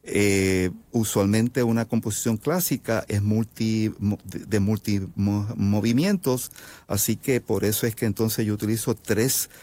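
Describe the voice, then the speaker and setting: A Puerto Rican man with a very low voice explains his music as an interview guest. He talks in long, halting stretches, full of fillers and restarts. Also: steady tone, dark tone, no echo. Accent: Puerto Rican Spanish. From Puerto Rico. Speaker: composer guest. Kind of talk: interview answers.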